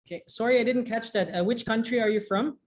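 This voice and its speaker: A man's voice: extremely high pitch, fast talker. A man in his forties hosting an online business meeting over a video call, politely calling on attendees one by one. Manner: friendly, methodical, calling on attendees in turn. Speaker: meeting host. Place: indoors, before a branded virtual backdrop.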